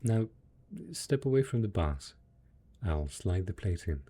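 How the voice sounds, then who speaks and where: A man's voice: low-pitched, big pitch swings, a little slow, mellow, exaggerated voice. A man playing a devoted post-apocalyptic husband in an intimate audio drama, speaking tenderly and patiently as he coaxes his monstrous spouse. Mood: patient, encouraging. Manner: tender, coaxing, patient, full of pet names.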